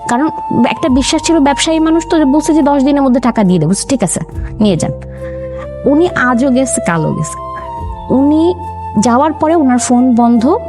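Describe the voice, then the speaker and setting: A woman's voice: sits high, very animated, moderate pace, pauses often. A young woman in an interview, earnestly recounting her family's story and quoting her parents' words as she goes. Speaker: interview guest. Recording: music bed under the voice.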